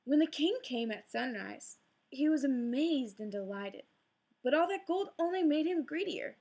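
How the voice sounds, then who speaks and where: A woman narrating a fairy tale in an expressive, gently dramatic read-aloud style. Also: high-pitched, unhurried, creaky. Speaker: storyteller.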